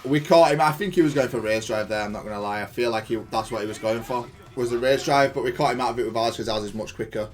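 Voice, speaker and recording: A man's voice: mid-range voice, quick pace, hardly any pauses. A man giving casual gameplay analysis of his own match in an informal, matter-of-fact, self-critical way. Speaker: gaming content creator reviewing own match.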